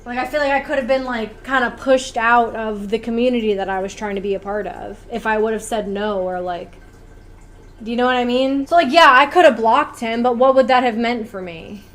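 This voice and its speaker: A woman's voice: fairly high pitch, fairly quick, fairly bright tone. A woman streamer talking casually, peppering her account with "like" and check-in phrases. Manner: frequent "like" and "you know what I mean".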